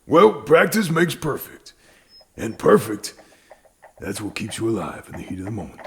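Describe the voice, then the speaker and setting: An actor playing a stern but fatherly, world-weary sheriff in a western audio drama, with an American Southern drawl and a deliberate, folksy manner. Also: wildly swooping pitch, a little hiss or hum, heavily acted. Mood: fatherly, firm. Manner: deliberate, folksy, swinging from hushed to booming.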